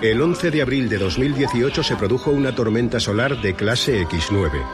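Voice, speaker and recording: A solemn narrator played by a man recounts catastrophe in a scripted audio drama. The delivery is measured and grave, at a medium pitch, with music clearly underneath. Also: expressive, natural voice.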